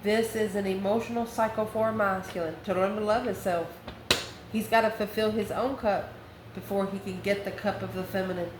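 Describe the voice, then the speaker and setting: Woman reading tarot in an echoey room. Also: mid-range voice. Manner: conversational, thinking aloud in short phrases. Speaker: tarot reader, channel host. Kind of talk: improvised tarot reading, spoken to viewers. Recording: roomy echo, faint background noise.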